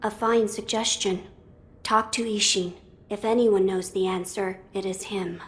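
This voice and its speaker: A woman voicing a young noble boy lord in a video game, formal, measured and earnest. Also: mid-range voice, steady tone, natural voice. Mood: resolute. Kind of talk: video game cutscene acting.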